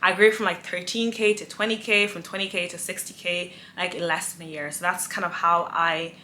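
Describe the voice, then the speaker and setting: A woman's voice: on the low side, fairly even intonation, a little fast, pushed and bright, slight background noise. A woman in her twenties from Nigeria, chatting casually and giggling as she answers viewer questions in a sit-down vlog. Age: 20s. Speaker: influencer, vlogger.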